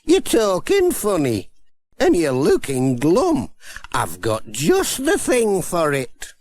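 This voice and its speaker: A man's voice: a little high, huge pitch swings, slow, darkish tone, voice pushed up, full character voice. A man voicing a kindly old granny in a children's storybook audiobook. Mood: knowing, kindly. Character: kindly old granny offering home remedies.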